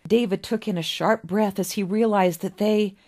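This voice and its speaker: A woman reading literary fiction aloud as an author would, with an expressive, dramatic storybook delivery and deliberate pauses. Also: mid-range voice. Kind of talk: expressive scripted story narration.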